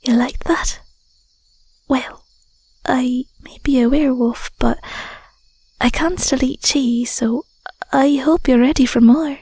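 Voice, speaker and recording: Breathy, high-voiced Scottish werewolf girlfriend, played by a woman. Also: steady tone, takes it slowly, dry recording, heavily acted. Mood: teasing, loving. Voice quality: soft, breathy, whispery. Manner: hesitant, stammering, murmuring endearments. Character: affectionate, bashful young werewolf girlfriend, playful and tender. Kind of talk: intimate audio roleplay, soft breathy ASMR. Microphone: close microphone.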